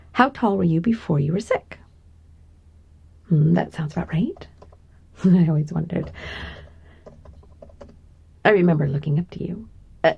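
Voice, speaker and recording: A woman playing a gentle giantess secretary in an ASMR roleplay, doting and coy, with a soft, breathy voice that often slips toward a whisper. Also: on the low side, lo-fi sound, exaggerated voice. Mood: tender, attentive. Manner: tender, coy, hesitant, giggly asides. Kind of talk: ASMR roleplay, intimate one-sided conversation.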